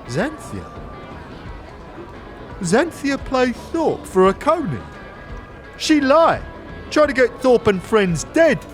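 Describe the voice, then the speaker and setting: A man voicing a simple, earnest brute from a fantasy audio drama, speaking in halting broken sentences with stammered starts. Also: very high-pitched, very animated, soft background music, voice pushed up, heavily acted. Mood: anxious, startled. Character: simple, earnest, hulking Thakola brute, slow-witted and grateful.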